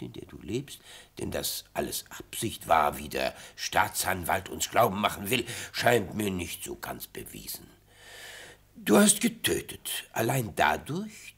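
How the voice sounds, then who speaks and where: An actor playing a tipsy, grotesque old retired judge in a radio play, speaking with solemn, theatrical relish. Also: male voice, fairly low pitch, fairly bright tone, exaggerated voice. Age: older. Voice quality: husky, gravelly. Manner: theatrical, slow, solemn, swooping into whispers. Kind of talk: German radio play acting.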